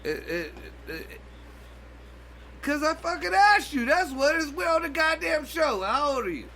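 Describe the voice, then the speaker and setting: A man's voice: very high voice, very animated, moderate pace, pushed and bright, slight background noise. A man in casual drinking banter as a podcast co-host, brash and crude, needling the others.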